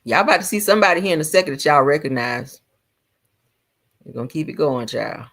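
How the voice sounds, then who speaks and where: A woman hosting a gossip livestream, giving casual reaction commentary in a sassy, colloquial way with drawn-out asides. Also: low-pitched, expressive, fairly bright tone.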